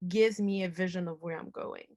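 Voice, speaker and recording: A woman's voice: fairly low pitch, steady tone, moderate pace, darkish tone, no echo, clean studio sound. A woman in her twenties from the Democratic Republic of Congo, talking earnestly and passionately as a guest on a video call from home. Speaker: guest filmmaker. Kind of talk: casual discussion. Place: home living room. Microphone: video call laptop camera.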